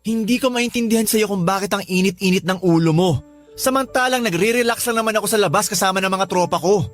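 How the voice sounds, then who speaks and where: A man's voice: sits high, fast talker, faint music behind, lightly acted. A man playing a defensive, irritable live-in partner in a Filipino radio drama, whining and dismissive. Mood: defensive, annoyed. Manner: whiny, dismissive. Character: defensive, irritable young live-in partner, fond of his buddies.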